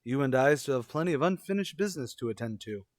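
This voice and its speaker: A man's voice: mid-range voice, lively intonation, fast, no echo, studio quality, lightly acted. A man playing an awkward, literal-minded, bashful suitor in an old-time radio sitcom, hesitant and understated. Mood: earnest, oblivious.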